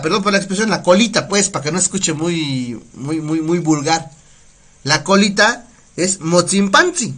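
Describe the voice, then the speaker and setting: A middle-aged Mexican man with a Mexican Spanish accent teaches vocabulary on a live stream, chatty and quick to laugh. His voice sits high for a man and sounds bright and forward. He slows down and repeats each word carefully so listeners can follow. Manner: chatty, laughs often, repeats words slowly to teach pronunciation. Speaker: community radio host teaching Nahuatl. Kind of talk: casual live language lesson, reading viewer comments.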